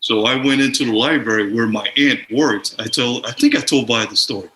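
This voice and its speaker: Bright-voiced man telling stories. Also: medium pitch, a little fast. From Samoa. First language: Samoan. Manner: chuckling, lively anecdote telling. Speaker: guest sharing memories. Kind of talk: casual storytelling. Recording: echoey room with faint noise.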